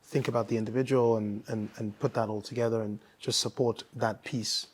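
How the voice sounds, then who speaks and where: A man from Nigeria, a guest in a casual interview, talking thoughtfully with many pauses and restarts. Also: lowish voice, fairly even intonation.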